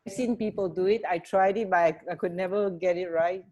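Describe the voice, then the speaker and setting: A middle-aged woman co-hosting a casual chat on a video call, speaking in a halting, informal way. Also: on the low side, steady tone, warm tone. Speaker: radio co-host. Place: indoor room at home, on a video call. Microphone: earphone headset on video call.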